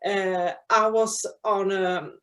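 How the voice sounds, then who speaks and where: A Swedish-accented woman guest on an online podcast, feeling her way carefully through second-language sentences at a medium pitch. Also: steady tone, a bit bright. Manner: careful, halting choice of words in a second language. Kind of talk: casual conversation. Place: video call.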